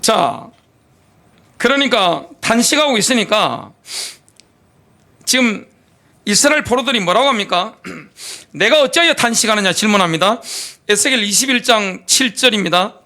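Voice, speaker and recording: Gravelly high-pitched man preaching with fervor. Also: expressive, normal speed. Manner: impassioned preaching with rhetorical questions and sudden drops to quiet. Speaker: pastor. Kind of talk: sermon. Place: church sanctuary.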